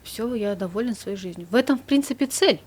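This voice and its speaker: A woman psychologist, a guest in a studio conversation, calmly explaining and trailing off softly at the ends of her phrases. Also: a little high, a little fast, breathy edge.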